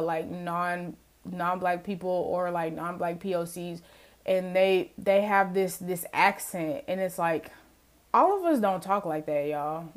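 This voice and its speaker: A young adult woman hosting her own podcast, chatting casually and laughing often as she talks to listeners. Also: medium pitch. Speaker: podcast host. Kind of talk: casual solo podcast chat.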